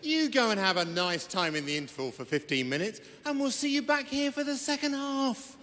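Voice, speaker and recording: A man's voice: very high voice, very animated, a little fast. A man making a jocular, informal stage announcement to an audience in a large concert hall. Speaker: event announcer. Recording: big echoing hall, crowd noise, distant.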